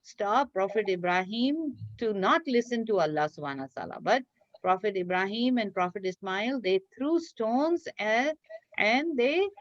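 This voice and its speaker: A woman's voice: medium pitch, lively intonation, moderate pace. A woman teaching a children's craft class over a video call, speaking in an encouraging way and praising her young pupils. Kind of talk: interactive online children's lesson. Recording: dull lo-fi online call, echoey room.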